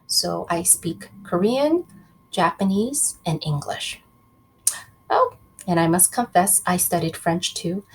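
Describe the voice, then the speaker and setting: A woman's voice: lowish voice, slight background noise, low-quality recording. A woman teacher reading a children's book aloud, expressively, breaking off to question her pupils directly.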